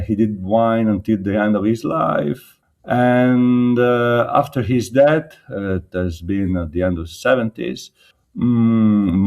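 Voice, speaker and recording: Italian guy talking slow, lots of uhs and restarts, lowish voice, winemaker being interviewed. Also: a little mellow. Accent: Italian, slightly mixed. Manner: hesitant, many ums and uhs, restarts. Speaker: winemaker guest. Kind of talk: conversational interview answers.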